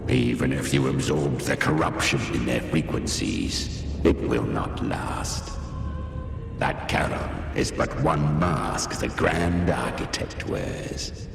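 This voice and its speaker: Cavernous, gravelly, slow demonic villain. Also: male voice, full character voice. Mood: cold, brooding. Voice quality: gravelly, very deep. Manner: slow, menacing, drawn-out delivery. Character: sinister, bloodthirsty male demonic being, slow and savoring. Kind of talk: dramatic video game voice acting. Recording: long echoing reverb under game music.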